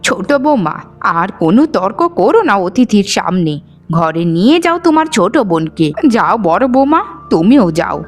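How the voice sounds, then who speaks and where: A woman playing a patient, kind housewife in a cartoon moral story, with a gentle, coaxing way of speaking. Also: mid-range voice, sing-song, moderate pace, close to an everyday voice. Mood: patient, pleading. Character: patient, kind elder daughter-in-law and soft-spoken mother-in-law. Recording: music under the voice.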